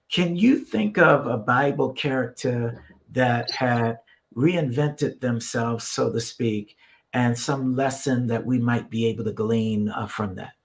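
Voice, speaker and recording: A man hosting a religious talk show in a conversational way, feeling his way through meandering sentences. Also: medium pitch. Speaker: host of a ministry live stream.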